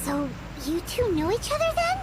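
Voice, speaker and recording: A woman voicing a tiny, excitable, childlike fairy companion in a video game, squeaky and exclaiming. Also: moderate pace, very noisy. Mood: earnest. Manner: exclaiming, speaks of herself in third person.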